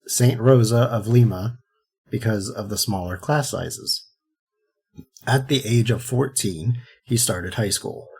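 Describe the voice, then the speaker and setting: Husky-voiced joking man. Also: fairly low pitch, a little mellow. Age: 30s. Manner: goofy, joking. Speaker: podcast co-host. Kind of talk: casual chat and reading history.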